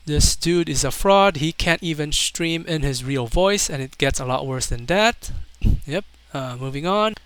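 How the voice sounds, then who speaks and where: A man's voice: on the high side, expressive, measured pace, a bit bright, smooth, dry recording. A man doing casual video commentary, reading out online comments in an amused, mocking way.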